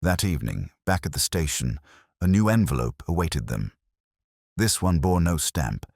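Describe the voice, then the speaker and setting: Very deep male mystery narrator. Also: big pitch swings, normal speed, no echo, studio quality. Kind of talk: scripted mystery story narration.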